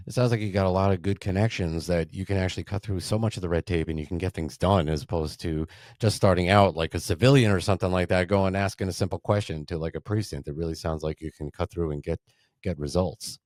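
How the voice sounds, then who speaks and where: A man's voice: low voice, quick pace, a little mellow, dry recording, studio quality. A man hosting a podcast interview as an attorney, asking friendly, chatty, rambling questions.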